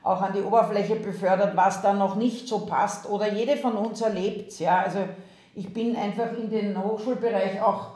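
A woman's voice: medium pitch, steady tone, moderate pace, a little mellow, reverberant. A woman from Austria with an Austrian accent, a university president talking in an interview, thoughtful and hesitant, with frequent fillers. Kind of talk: interview over video call. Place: remote video call.

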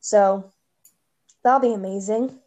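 A woman's voice: mid-range voice, slow pace, warm tone. A woman hosting a casual podcast over a phone, chatty and rambling, with a slight lisp. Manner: chatty, rambling, restarts often. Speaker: podcast host.

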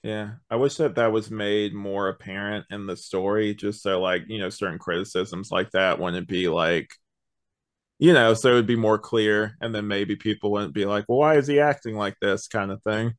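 A man co-hosting a podcast in casual chat, explaining things in a rambling, halting way with many false starts. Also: fairly low pitch, steady tone. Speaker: podcast co-host reviewing an audio drama.

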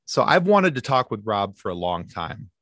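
Animated man telling podcast stories. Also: lowish voice, dry recording, studio quality. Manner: animated storyteller, quick asides and restarts. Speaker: podcast guest, sci-fi podcast co-host. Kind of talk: casual interview chat.

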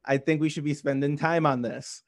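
A man's voice: fairly high pitch, steady tone, moderate pace. A man in his early twenties with an American accent, talking casually and loosely in conversation, rambling and saying "like" often. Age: early 20s. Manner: rambling, heavy use of "like".

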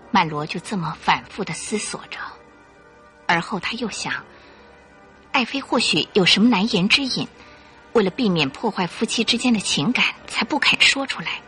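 A woman narrating a Chinese radio detective drama in a measured, suspenseful storytelling style. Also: mid-range voice, bright, forceful tone. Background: faint music.

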